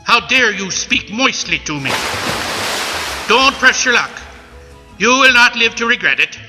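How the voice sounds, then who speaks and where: An actor playing a pompous, bumbling pirate swindler in a comic radio play. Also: male voice, very high-pitched, animated, a little slow. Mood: menacing, blustering. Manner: pompous, melodramatic threats, bumbling. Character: blustering villainous pirate swindler with muddled accent. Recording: echoey event hall, music behind.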